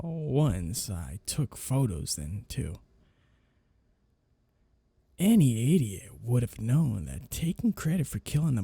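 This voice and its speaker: A man playing a smug, predatory male vampire in an intimate ASMR roleplay, teasing and taunting his captive with a husky, breathy delivery. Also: mid-range voice, warm tone, no echo, heavily acted. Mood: taunting, amused. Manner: teasing, taunting, lingering drawl on words.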